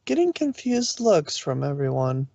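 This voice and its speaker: A man's voice: fairly high pitch, huge pitch swings, a little slow, creaky, a little mellow, dry recording. A man narrating fan fiction from a script, on a dull, lo-fi recording.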